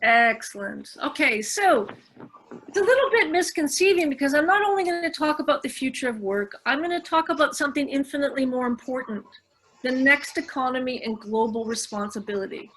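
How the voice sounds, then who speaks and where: A woman's voice: high voice, expressive, fairly quick, fairly bright tone, low-quality recording. A woman professor with a Canadian accent giving an online lecture over a video call, upbeat, chatty and personal. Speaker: professor, guest speaker. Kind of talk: lecture presentation.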